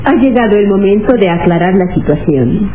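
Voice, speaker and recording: A woman's voice: mid-range voice, expressive, a little fast, music in the background, natural voice. An actress playing a cold-blooded female assassin in a radio serial, commanding and menacing. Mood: menacing, smug. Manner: cool, commanding, menacing, crisp statements. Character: Marilyn, beautiful, cold-blooded female assassin holding captives. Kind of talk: Spanish-language radio serial acting. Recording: tinny old radio serial recording.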